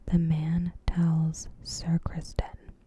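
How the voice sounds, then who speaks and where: A woman doing ASMR in a hushed, breathy whisper, gently and unhurriedly reading a recap of a story. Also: low voice, completely flat delivery, extremely slow. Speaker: ASMR creator and host. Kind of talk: soft-spoken ASMR, reading a TV episode recap.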